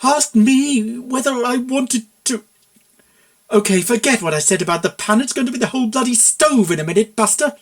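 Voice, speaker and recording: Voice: mid-range voice, moderate pace, pitched above the performer's own voice, close to an everyday voice. A performer voicing an exasperated, blunt cartoon mare in a story reading. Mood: indignant. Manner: hesitant muttering or indignant outbursts. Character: exasperated, blunt young mare with a short temper.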